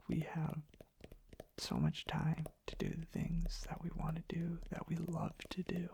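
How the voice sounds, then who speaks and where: An ASMR host speaking softly in a hushed, reflective, unhurried ramble. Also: mid-range voice, vocal fry, slight background noise. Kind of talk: soft-spoken ASMR ramble.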